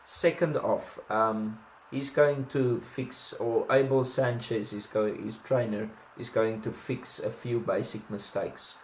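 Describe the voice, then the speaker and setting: A man's voice: fairly low pitch, steady tone, takes it slowly, roomy sound, a little hiss or hum, poor audio. A man with a South African accent giving casual boxing commentary, chatty, with many ums and restarts and self-deprecating asides.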